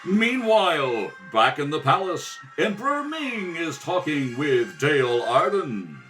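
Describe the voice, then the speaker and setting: A man's voice: a little high, very animated, measured pace, fairly bright tone, soft background music. A man narrating a scripted radio serial in a dramatic style, recorded at home. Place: home kitchen table. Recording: distant home rehearsal recording.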